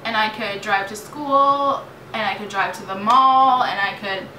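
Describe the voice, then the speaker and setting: A late-teen American woman chats like a vlogger telling stories to viewers, animated and dramatic in her delivery. Her pitch is average for a woman. She is recorded away from the mic in an echoey room, with clear noise. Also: unhurried. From New York State, United States. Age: late teens. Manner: animated, chatty, dramatizes remembered exchanges. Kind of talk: casual storytime vlog chat. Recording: roomy echo, distant mic, clearly noisy.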